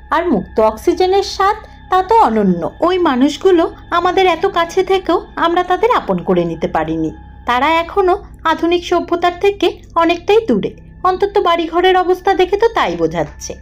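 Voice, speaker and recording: A woman reading a scripted first-person ghost story as its narrator. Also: very high-pitched. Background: background music.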